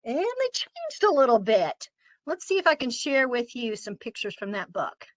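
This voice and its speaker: A woman children's author, cheerful and animated, reading aloud to kids over a video call. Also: on the high side, normal speed, no room sound.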